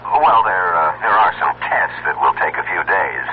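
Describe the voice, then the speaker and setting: An actor with an American accent playing a plain-spoken, reassuring small-town family doctor in a 1970s radio drama, measured and folksy. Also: male voice, low voice, lively intonation. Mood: matter-of-fact. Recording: tinny phone-like old radio, faint hiss.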